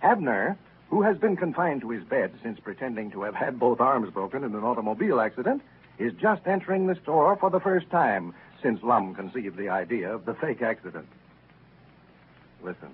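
A man's voice: mid-range voice, big pitch swings, normal speed. A man with an American accent announcing in 1930s radio style, speaking folksily and directly to his listeners. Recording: tinny, lightly noisy 1930s radio recording.

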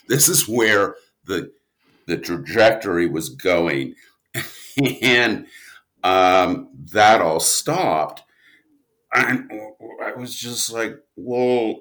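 Deep voiced guy on a podcast, unhurried, rambly, keeps cutting himself off. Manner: animated, rambling storyteller, self-interrupting. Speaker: guest, audio drama writer and producer. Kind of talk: casual podcast interview talk.

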